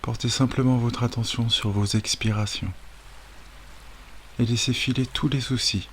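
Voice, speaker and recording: A man guiding a sleep meditation as a yoga nidra teacher, speaking calmly and soothingly with long, unhurried pauses. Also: mid-range voice. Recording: steady wave noise over the voice. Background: sound of ocean waves.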